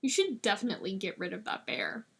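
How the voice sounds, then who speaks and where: A woman's voice: mid-range voice, big pitch swings, fast talker, vocal fry, a bit bright, husky, full character voice. A woman voicing a blunt, teasing young man who gives a friend authoritative advice in an audiobook story. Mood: firm advice. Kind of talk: audiobook character dialogue.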